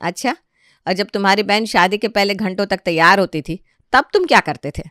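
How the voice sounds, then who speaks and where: A woman voicing a sharp-tongued, defiant middle-aged wife and daughter-in-law who argues back in an animated family story. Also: medium pitch, brisk, no echo, studio quality, lightly acted. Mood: teasing retort. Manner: argumentative, retorting.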